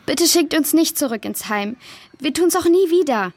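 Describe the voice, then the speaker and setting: A woman's voice: high-pitched, animated, normal speed, no echo. A woman playing an anxious, remorseful foster girl in a children's audio drama. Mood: pleading.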